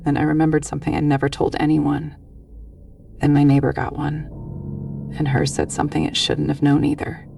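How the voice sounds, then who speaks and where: A woman playing a weary, defensive letter writer in a scripted horror story, with a gravelly, creaky voice. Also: deep voice, level tone, fairly quick, dark tone, recorded from a distance. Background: music.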